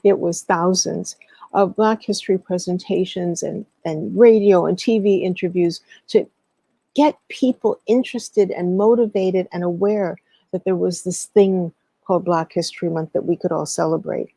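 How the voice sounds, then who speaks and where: Middle-aged woman, interview guest, earnest and deliberate, relaxed mellow tone, frequent vocal fry, pauses mid-thought. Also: lowish voice, no echo, poor audio. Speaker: guest, historian and educator. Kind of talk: interview storytelling, recounting past work.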